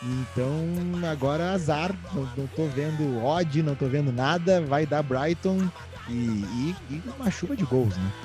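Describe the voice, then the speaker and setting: A man's voice: on the high side, lively intonation, moderate pace, over music. A man from southern Brazil hosting a podcast, chatting casually about football with playful, joking banter. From Rio Grande do Sul, Brazil. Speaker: podcast host.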